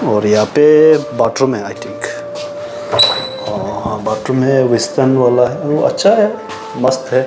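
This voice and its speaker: A man's voice: medium pitch, unhurried. A man casually narrating his road trip for a travel vlog, pointing out sights to viewers as he films on a phone. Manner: chatty, pointing things out to viewers. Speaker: travel vlogger. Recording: music playing underneath.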